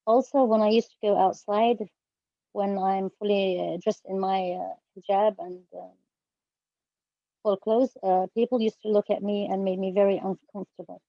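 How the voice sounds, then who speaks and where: A woman from Yemen speaks carefully as a guest, pausing often with fillers. The call audio is dull and lo-fi, and the sound is dry with no room around it. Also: medium pitch, steady tone, gravelly, no room sound. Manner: careful, hesitant, frequent fillers. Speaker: guest student.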